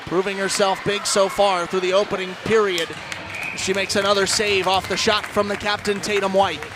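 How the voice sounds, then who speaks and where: A man doing animated, projected play-by-play commentary on a live ice hockey broadcast. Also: high-pitched, moderate pace. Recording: loud crowd and arena noise behind. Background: ice rink crowd.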